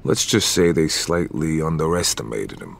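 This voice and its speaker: An actor playing a hard-boiled American mob hitman, cold-blooded, terse and deadpan, with a rough, deep voice. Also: normal speed, exaggerated voice. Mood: grim, confident.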